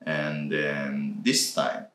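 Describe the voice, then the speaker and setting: A man with an extremely deep voice and a Japanese accent co-hosts a relaxed chat in English. He talks slowly and haltingly, searching for words. The room around him is echoey. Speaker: co-host of a reaction channel. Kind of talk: casual chat.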